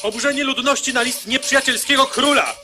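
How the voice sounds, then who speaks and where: An actor playing an excited news herald in a radio play, solemnly declaiming bulletins. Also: male voice, very high-pitched, fairly quick, over music. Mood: urgent, proclaiming.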